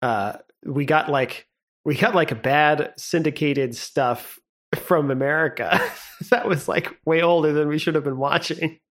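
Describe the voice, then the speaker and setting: A young man co-hosting a podcast in casual chat, relaxed and rambling, laughing often. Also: on the high side, unhurried. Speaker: podcast co-host.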